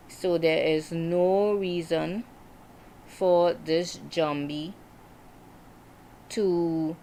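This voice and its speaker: A woman in her forties talking casually on a livestream, reflective and hesitant, with many pauses and fillers. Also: low voice, darkish tone, slight background noise, low-quality recording. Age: 40s. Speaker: writer hosting a livestream.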